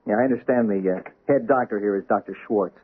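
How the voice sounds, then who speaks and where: A nervous, awkward young husband who stammers and trails off. His voice is a little husky. It sounds tinny, like an old radio broadcast. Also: on the low side, fast. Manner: hesitant, stammering.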